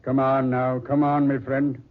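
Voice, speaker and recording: An actor playing a brash, slangy, excitable policeman in old-time radio drama style. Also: medium pitch, unhurried, lightly acted. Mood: skeptical mocking. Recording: thin, phone-like vintage radio recording.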